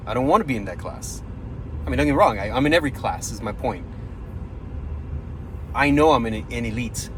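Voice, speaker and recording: A man films himself on a phone, rambling candidly about his run for office in an easy mid-range voice. Car and street noise sit clearly behind him. His tone is self-deprecating and loose. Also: mid-range voice, rough recording. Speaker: vlogger running for county mayor. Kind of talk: casual self-filmed vlog. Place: inside a car, then outdoors downtown.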